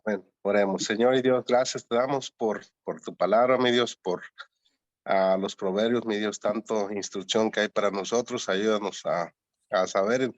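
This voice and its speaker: A man leading a closing prayer in an earnest, halting way with small restarts. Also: fairly low pitch, no echo. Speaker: church member leading prayer. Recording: dull lo-fi call audio.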